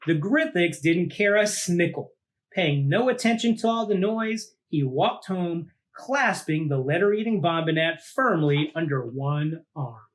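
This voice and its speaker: A man reading a children's picture book aloud like a teacher, with deliberate dramatic phrasing and drawn-out words. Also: fairly high pitch, animated. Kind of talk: animated storybook read-aloud for children.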